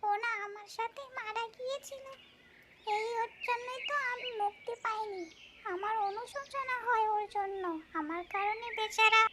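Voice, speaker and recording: A woman voicing a mischievous yet tender female tree ghost in a folk-tale cartoon, speaking in drawn-out exclamations. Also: very high voice, heavy background noise. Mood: surprised, regretful.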